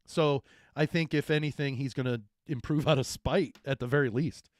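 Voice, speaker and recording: Man, deep voice, rambling emphatic sports talk, dry close sound. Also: normal speed, dry recording. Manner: rambling, restarts often, emphatic. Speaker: co-host, former coach.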